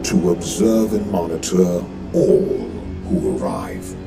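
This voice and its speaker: A man voicing a sinister male AI that runs a prison ship, in a deep, gravelly, menacing tone, with music behind. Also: fairly even intonation, takes it slowly. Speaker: voice pack narrator.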